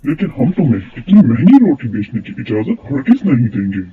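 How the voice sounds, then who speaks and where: A man's voice: mid-range voice, big pitch swings, a little fast, natural voice. A man playing an authoritative king in a moral cartoon story, speaking in a formal, regal, commanding way. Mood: stern command. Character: authoritative king, commanding, concerned for his subjects. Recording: tinny phone-like sound, music bed.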